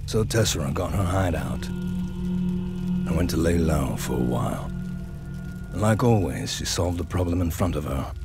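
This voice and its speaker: A self-serving schemer from a grim sci-fi game. His voice is extremely low, and he narrates with sardonic, measured control over faint background music. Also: sing-song, vocal fry, exaggerated voice. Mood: wry. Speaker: story narrator. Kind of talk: scripted game cutscene narration.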